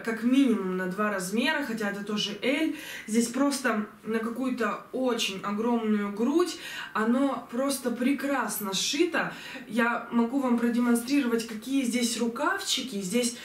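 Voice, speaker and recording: A young woman reviewing clothes she ordered online, chatty and enthusiastic, slightly hoarse from a cold, filmed at home on a distant camera. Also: fairly high pitch, slight background noise. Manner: chatty, enthusiastic, many fillers. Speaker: fashion vlogger. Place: bedroom at home, indoors. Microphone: camera mic from a distance. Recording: roomy echo, voice from far off.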